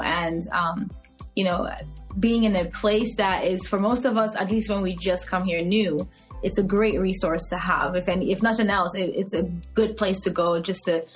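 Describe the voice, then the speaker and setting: A woman in her thirties from Cameroon with a Cameroonian English accent, chatting expressively as a podcast guest with frequent fillers. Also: on the low side. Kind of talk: podcast interview, casual chat.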